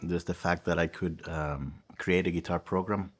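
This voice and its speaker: A man's voice: low voice, fairly even intonation, moderate pace. A man from Cuba, answering interview questions earnestly as a music director. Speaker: music director and guitar professor.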